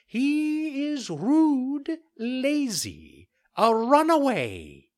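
A narrator voicing a stern male talking cricket who scolds in a very high, piping voice. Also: big pitch swings, extremely slow, darkish tone, exaggerated voice. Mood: scolding. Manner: scolding, preachy.

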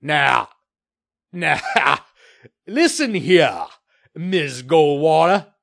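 A man voicing a blustering, bullying land developer in an audiobook story, with a loud, growling, puffing delivery. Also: sits high, expressive, measured pace, creaky, pitched above the performer's own voice, heavily acted. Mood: growling, defensive.

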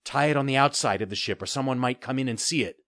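A man voicing a young pirate boy who speaks tensely and urgently, raised in pitch, in a storybook reading. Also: fast talker, a bit bright, dry recording, studio quality, close to an everyday voice.

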